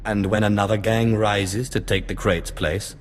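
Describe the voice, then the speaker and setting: An actor playing a cold, arrogant Sith lord in a video game, curt, sardonic and commanding. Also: male voice, on the low side, fairly even intonation, measured pace, a little hiss or hum, close to an everyday voice.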